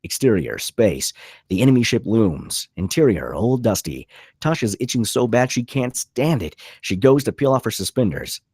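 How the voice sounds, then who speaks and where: A man's voice: fairly low pitch, sing-song, normal speed, a little mellow, husky, dry recording, clean studio sound. A man reading stage directions as dramatic scripted narration at a table read.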